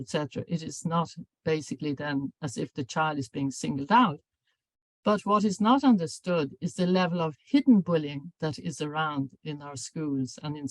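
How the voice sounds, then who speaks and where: Older woman giving a measured lecture. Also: deep voice, no echo, rough recording. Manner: measured, formal delivery with frequent self-corrections. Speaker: professor and anti-bullying researcher. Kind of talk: academic seminar lecture.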